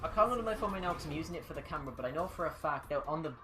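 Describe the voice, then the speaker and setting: A man's voice: on the high side, animated, rapid-fire. A young man hosting a charity game stream, chatting casually and rambling with lots of fillers and repeats. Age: young adult. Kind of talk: casual chat while streaming games. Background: loud music.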